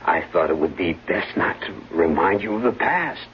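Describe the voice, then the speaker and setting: A man's voice: low voice, animated, moderate pace. A man playing a deceitful, pleading husband in a 1970s radio drama, earnest and protesting. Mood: defensive, reluctant. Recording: muffled, noisy 1970s radio broadcast.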